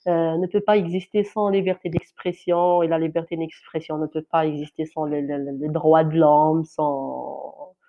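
A woman's voice: deep voice, little pitch movement, a little slow. Algerian woman, kabyle native, journalist talking earnestly, lots of um and restarts, kinda dull muffled sound. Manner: hesitant, many restarts and fillers, earnest. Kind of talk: interview answer, personal account.

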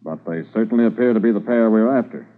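A man playing a brisk, authoritative senior police officer in a 1950s radio drama, measured and questioning. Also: on the low side, close to an everyday voice. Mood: businesslike. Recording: tinny narrow-band old radio recording.

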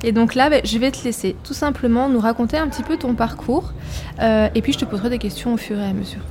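A woman's voice: fairly high pitch, quick pace, darkish tone, a little hiss or hum. A woman hosting her podcast as a coach, welcoming and interviewing her guest with effusive enthusiasm.

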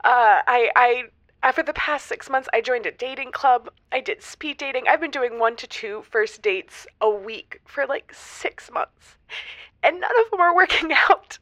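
A dry, close recording of an earnest single woman in her thirties. Her voice is fairly high and bright, and she talks in halting, emotional phrases as a radio drama caller. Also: no room sound. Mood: frustrated, pleading. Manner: halting, emotional, giggly hesitations. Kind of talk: semi-improvised audio comedy drama.

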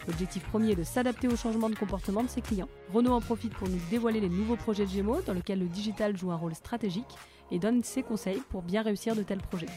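Brisk woman podcast host. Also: mid-range voice, music in the background. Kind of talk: scripted intro, then interviewing.

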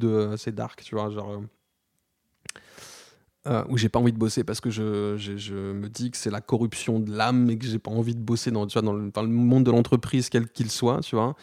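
A man in his thirties speaking candidly in an interview, slangy and halting, with many restarts and fillers. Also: on the low side, a little mellow, no room sound, studio quality. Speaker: guest.

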